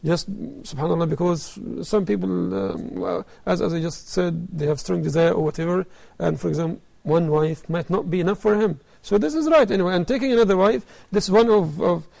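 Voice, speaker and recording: A man's voice: high-pitched, fairly quick, gravelly, few pauses, darkish tone. A man speaking as an expert guest, explaining things in a panel discussion.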